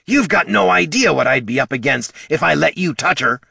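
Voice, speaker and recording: A man's voice: a little high, expressive, fairly quick, gravelly, sharp tone, slightly rough, dry recording, clean studio sound, voice pushed up, exaggerated voice. A man voicing a small, scrappy male kobold who rambles nervously and talks himself in circles. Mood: wry.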